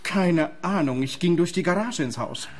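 An actor playing a nervous, defensive lawyer in a radio play, indignant. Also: male voice, high voice, expressive, normal speed.

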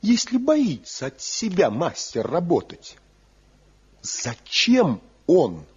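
A bitter, proud craftsman in an old radio drama. His husky voice is fairly high and swoops widely as he declaims with envy and defiance. The recording is dull and lo-fi. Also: wildly swooping pitch, takes it slowly, a little mellow, heavily acted. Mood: passionate, questioning. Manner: theatrical, declamatory, boastful. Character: proud, bitter rebel master woodcarver, envious and defiant. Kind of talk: Soviet radio drama acting.

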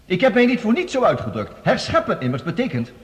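A man's voice: high-pitched, wildly swooping pitch, fast talker. An actor playing an assured, persuasive male scientist, explaining formally and emphatically in a science fiction radio play. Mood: assured, emphatic. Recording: reverberant hall, radio drama production.

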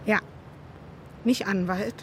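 A woman's voice: medium pitch, steady tone, slow pace, a little hiss or hum, close to an everyday voice. An actress playing a brash, agitated young woman in a naturalistic radio drama, speaking in blunt, clipped slang.

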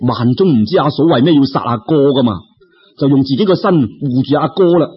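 Husky male Cantonese storyteller, slightly muffled. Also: mid-range voice, lively intonation, brisk. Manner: colloquial, dramatic, with exclamations and sound words. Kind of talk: animated folk-tale narration. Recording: slightly dull, lo-fi audio.

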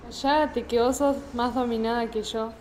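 A woman's voice: on the high side, fairly even intonation, moderate pace, darkish tone, natural voice. A woman playing a cheeky, sarcastic schoolgirl in an amateur radio play. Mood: teasing. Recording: reverberant hall-like room.

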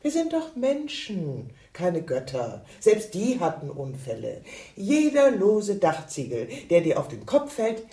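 A woman in her fifties with a gravelly, husky voice. She is lovesick and scheming, defensive and bitter, confiding and arguing with intensity. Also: fairly low pitch, huge pitch swings, measured pace, warm tone, exaggerated voice. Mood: insistent, defensive. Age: 50s. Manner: intense, bitter, swinging from pleading to insistent. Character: lovesick, scheming, defensive insurance clerk woman, fifty-two. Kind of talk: radio drama acting, dialogue and confessional monologue.

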